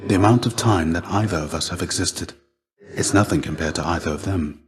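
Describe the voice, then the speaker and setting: An actor playing the ghost of a fallen Greek warrior in a video game, a gentle, fatherly mentor with measured, formal, old-fashioned phrasing. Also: low voice, close to an everyday voice. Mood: thoughtful. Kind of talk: video game character dialogue.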